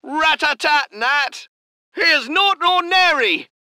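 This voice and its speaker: A jaunty British airman in a war game, high-pitched and bright. Every reply is a playful little rhyming catchphrase, delivered without hurry. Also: expressive, slow pace, dry recording, studio quality, heavily acted. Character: chirpy British aviator, playful, talks in rhymes. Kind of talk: video game unit voice lines.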